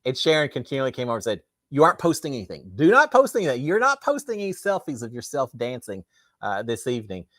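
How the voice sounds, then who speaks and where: A middle-aged man, hosting a casual live chat and answering viewer comments, his throat slightly raspy and tired, rambling with many restarts. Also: mid-range voice, wildly swooping pitch, fast talker, a bit bright, dry recording, studio quality. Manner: rambling with frequent restarts and fillers. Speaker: livestream host.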